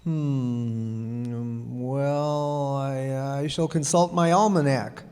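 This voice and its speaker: A man's voice: medium pitch, fairly even intonation, very slow, a little mellow, smooth. A man playing a lonely, self-important but kindly king in a radio play, regal and given to hemming and hawing. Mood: blustering, hesitant. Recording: echoey hall, long reverb.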